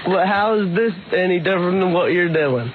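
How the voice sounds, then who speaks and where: A man's voice: high voice, animated, a little fast, narrow, tinny audio, over a noisy crowd. A man appearing as a radio guest, answering in a hesitant, mumbling way.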